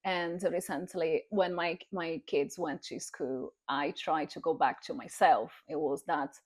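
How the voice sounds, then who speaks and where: A woman with a Brazilian Portuguese accent, her first language being Portuguese, talking through her life story in relaxed conversation. Her voice sits a little low. She pauses often and restarts her sentences. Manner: hesitant, with many fillers and restarts. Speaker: guest. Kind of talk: casual chat.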